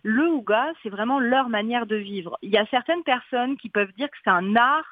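A woman's voice: a little high, animated, normal speed, no room sound. Woman on a phone line. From French-Canadian. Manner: chatty, many fillers, laughs often. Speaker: author guest. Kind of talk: radio interview chat.

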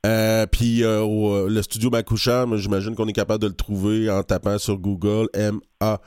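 A man hosting a radio interview, asking friendly, relaxed questions. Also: on the low side, moderate pace, clean tone, no room sound, clean studio sound. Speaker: radio host.

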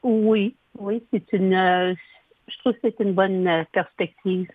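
A woman in her sixties, a native English speaker from Ottawa, speaking French as a guest with a slow, halting manner and frequent throat clearing. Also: medium pitch, steady tone, no echo. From Ottawa, Canada. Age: 60s. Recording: tinny phone line.

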